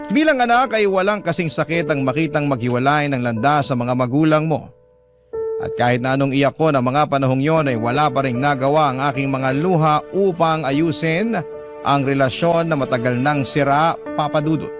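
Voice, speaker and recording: A man reading a letter aloud as dramatic first-person narration for a radio drama. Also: fairly high pitch. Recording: clear background music under voice. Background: music.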